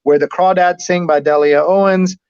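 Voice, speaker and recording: Higher-voiced man hosting a casual live stream. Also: steady tone, moderate pace, a little mellow, clean tone, no echo, lo-fi sound. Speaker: host of a live newspaper read-along show. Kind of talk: casual live commentary, reading out and chatting.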